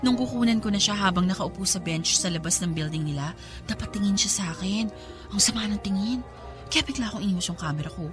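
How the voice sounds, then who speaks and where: A woman's voice: mid-range voice, quick pace, music in the background, natural voice. A woman with a Filipino accent acting a smitten, cheeky young photography student who gets flustered in a radio drama. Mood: giddy, smitten. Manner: expressive, stammering when flustered.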